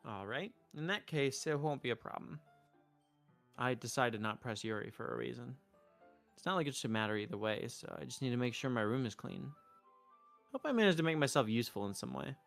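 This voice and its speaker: A man's voice: mid-range voice, lively intonation, a little fast, vocal fry, a bit bright. A man streaming a visual novel playthrough, narrating and reading the player character's part. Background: music.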